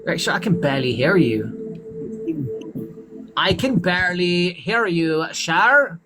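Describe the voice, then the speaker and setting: A man's voice: high-pitched, expressive, over music. A man hosting a livestream with callers, scolding the chat and defending himself. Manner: scolding, defensive, quick to complain. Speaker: livestream host.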